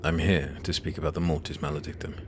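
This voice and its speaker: Man as plucky young-spirited mortal, very deep voice, rapid, nervy, audio drama, noisy background. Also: flat delivery. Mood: bold, hurried.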